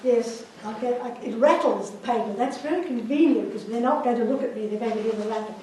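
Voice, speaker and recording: A woman's voice: fairly high pitch, quick pace, warm tone, slight background noise. Woman chairing a session in an echoey hall. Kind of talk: introducing speakers. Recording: echoey hall, mic far off.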